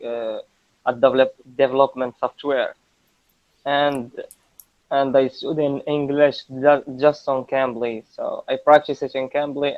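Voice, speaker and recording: A man in his twenties with a Moroccan accent, practising English conversation casually in halting learner phrases, often repeating words, over a lo-fi internet call. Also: medium pitch, dry recording. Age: 20s. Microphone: video call. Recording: dull lo-fi internet call audio.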